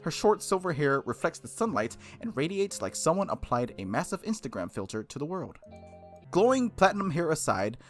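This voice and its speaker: A man reading a visual novel aloud as a game streamer, expressive, with casual slangy reactions. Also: on the high side, a little fast, faint music behind. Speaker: game streamer, let's-play host.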